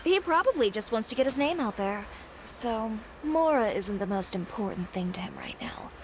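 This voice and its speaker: A woman voicing a cool-headed, businesslike designer who speaks in a calm, matter-of-fact way, in a video game. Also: fairly high pitch, moderate pace, a little hiss or hum, natural voice, rough recording. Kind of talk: game voice acting.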